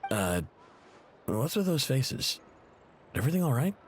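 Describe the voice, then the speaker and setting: An actor playing a helpful, easygoing mechanic in a video game, talking in a casual, sympathetic way. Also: male voice, mid-range voice, unhurried, a little hiss or hum. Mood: explanatory, sympathetic. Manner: casual, expressive, conversational.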